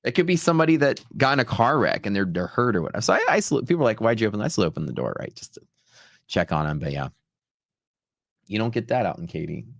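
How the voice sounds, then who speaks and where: Deep-voiced man chatting on a livestream. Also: big pitch swings, quick pace, creaky, no echo, clean studio sound. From Texas, United States. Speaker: livestream host, futures trader. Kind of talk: casual livestream chat, storytelling.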